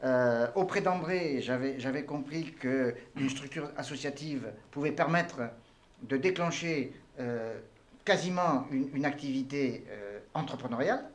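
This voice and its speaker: Older man, from Tunisia, formal speech, hesitant pauses, average pitch. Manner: formal, measured, elaborate phrasing with many hesitations. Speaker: entrepreneur guest. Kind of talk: interview answers.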